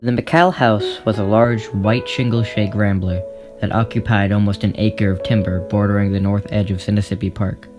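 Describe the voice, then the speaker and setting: Low-voiced male narrator over guitar music. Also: level tone, normal speed. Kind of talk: scripted audiobook-style narration. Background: plucked guitar music.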